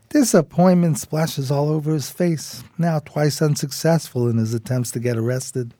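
An actor playing a streetwise, homeless New buddy, narrating a radio drama in folksy, admiring slang. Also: male voice, mid-range voice, dark tone, no echo.

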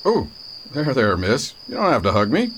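Wildly expressive folksy sheriff. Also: male voice, medium pitch, normal speed, slight background noise. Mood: wry, protective. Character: folksy, grumbling but kindly small-town sheriff. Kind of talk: radio drama acting.

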